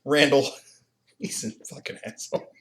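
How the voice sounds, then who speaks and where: A man co-hosting a podcast in casual chat, animated and profane, laughing often. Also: normal speed, very noisy. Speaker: podcast co-host.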